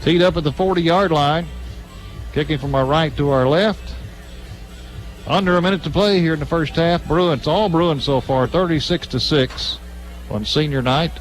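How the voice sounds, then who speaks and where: A man's voice: on the high side, moderate pace, soft background music, low-quality recording. An American man doing live play-by-play radio commentary of a football game in a clipped running style that builds on big plays. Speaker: play-by-play announcer. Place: stadium broadcast position at a high school game.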